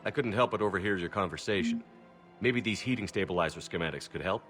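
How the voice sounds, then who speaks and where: Game hero commander: deep, matter-of-fact, dependable, few words. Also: male voice, fast talker, a bit bright, soft background music. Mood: helpful. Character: steady, helpful male military commander hero. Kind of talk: video game acting.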